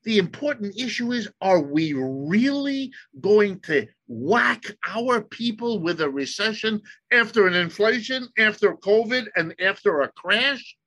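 Brief: US-born man, German first language, emphatic lecturer, slightly high voice with a tense, forceful edge. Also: expressive. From United States. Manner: emphatic, lecturing, slows for stress. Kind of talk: explanatory talk.

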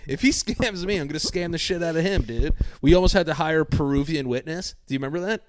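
A man's voice: on the high side, fast, no room sound. A young man co-hosting a podcast, telling stories in a rambling, casual way, swearing freely and acting out other people's lines. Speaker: podcast co-host. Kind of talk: casual chat.

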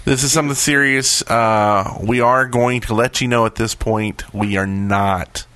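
A man's voice: mid-range voice, steady tone, measured pace, sharp tone, dry recording. A man hosting a podcast, giving casual announcements and banter. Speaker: podcast host.

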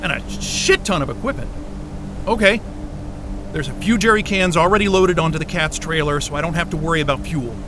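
A man's voice: a little high, brisk, background noise, natural voice. A man playing a frantic, foul-mouthed man on the run in an audio drama, urgent and prone to exclaiming. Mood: hurried, determined.

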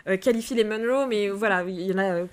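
A woman co-hosting a podcast in casual chat, hesitant and filling pauses often. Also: mid-range voice, clean tone. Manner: hesitant, many "euh" fillers. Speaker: podcast co-host.

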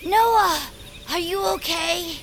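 A woman voicing a small, childlike, earnest and anxious friend in an audio drama, with a squeaky, creaky voice and hesitant manner. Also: takes it slowly. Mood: hesitant concern. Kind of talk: audio fiction acting. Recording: clear background noise.

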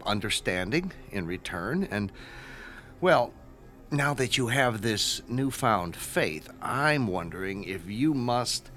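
A man playing a nervous, ingratiating school guidance counselor in his twenties in an audio drama, speaking haltingly. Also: fairly low pitch, very animated, fairly bright tone, faint music behind, exaggerated voice. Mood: nervous probing. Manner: hesitant, ingratiating, halting with ums.

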